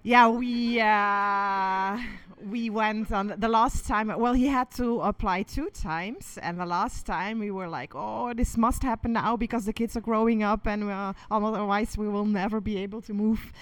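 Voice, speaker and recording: Woman guest, casual and halting, lots of "uh"s. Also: medium pitch, steady tone, fairly bright tone, dry recording. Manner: hesitant, many fillers. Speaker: interview guest. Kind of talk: casual conversation.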